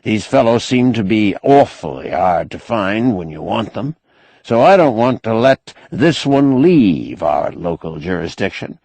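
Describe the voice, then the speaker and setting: A man's voice: on the low side, sing-song, measured pace, creaky, darkish tone, slightly rough, no echo, heavily acted. A man voicing a wily, indignant, eloquent doctor with pompous, ornate oratory in an audiobook. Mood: indignant, commanding. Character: wily, indignant, eloquent male doctor, master of his house. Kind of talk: audiobook character acting.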